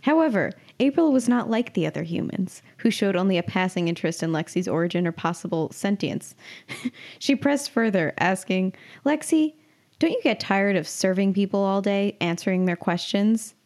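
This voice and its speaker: A woman's voice: medium pitch, expressive, moderate pace, a little mellow. A woman in her twenties co-hosting a podcast, giggly and self-mocking as she chats and reads her own comic story. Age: 20s. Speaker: podcast co-host and writer. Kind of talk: casual banter and reading own comic story aloud.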